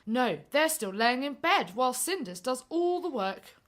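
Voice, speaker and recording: A woman's voice: high-pitched, very animated, unhurried. A woman playing an eager, friendly young servant in a pantomime, chirpy and confiding. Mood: admiring. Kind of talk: stage pantomime acting.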